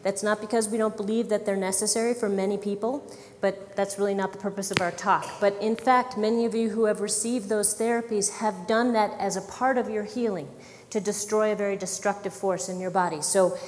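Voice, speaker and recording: A woman naturopathic doctor giving a lively health lecture, talking directly to the audience. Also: mid-range voice, brisk, big-room sound, slight background noise. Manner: lively, engaging, talks to the audience. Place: conference hall. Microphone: wireless microphone.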